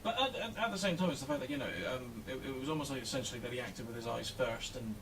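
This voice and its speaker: A man's voice: medium pitch, quick pace, background noise. A man with a Welsh accent, a co-host reviewing films in casual chat, talking in a chatty way with stumbling restarts. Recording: distant mic with faint music.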